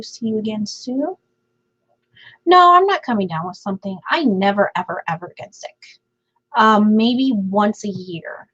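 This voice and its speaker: A woman's voice: mid-range voice, husky. Woman, scratchy fading voice, chatty livestream host, laughs often, slightly dull audio. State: scratchy, half-lost voice. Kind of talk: casual chat reading viewer comments. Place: home.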